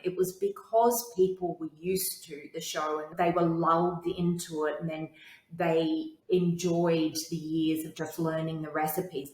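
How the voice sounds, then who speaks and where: A woman entrepreneur and TV host, interviewed as a guest, telling her story in an articulate, confident way. Her voice sits a little low. The sound carries a noticeable room echo.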